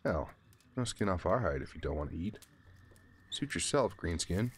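A man playing a gruff, irritable slaver huntsman in an audio drama, impatient and contemptuous. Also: low voice, expressive, moderate pace, a little hiss or hum. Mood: mocking. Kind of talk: amateur audio roleplay drama.

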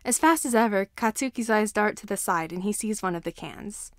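A woman reading fan fiction aloud as an expressive scripted story narration. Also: mid-range voice, fairly quick, no room sound, studio quality.

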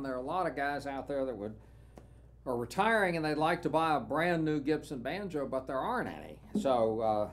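An older man, a banjo dealer, casually walking viewers through an instrument, unhurried and dotted with "uh" fillers, recorded in a small studio room. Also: mid-range voice, slight background noise. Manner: relaxed, unhurried, with frequent "uh" fillers. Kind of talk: casual product walkthrough.

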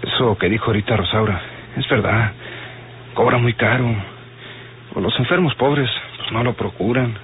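An actor in an old radio drama playing a loyal, cautious outlaw accomplice who consoles and advises. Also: male voice, mid-range voice, moderate pace, long pauses, slight background noise, lightly acted. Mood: cautious concern. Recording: tinny old radio recording with music.

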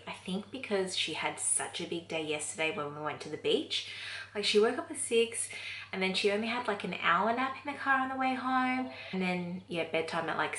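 A woman's voice: medium pitch, a bit bright, slight background noise, low-quality recording. A woman in her thirties with an Australian accent, talking casually as a home vlogger, recorded by a camera in a small room. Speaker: vlogger and mother of a toddler. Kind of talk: casual chatty vlog. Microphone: camera a short distance in front.

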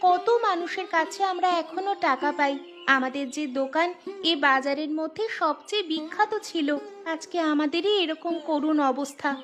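A woman's voice: high voice, moderate pace, a bit bright. A woman voicing a caring, worried schoolgirl daughter in a village cartoon, plaintive. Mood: concerned. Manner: plaintive, concerned. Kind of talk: Bengali village cartoon dialogue.

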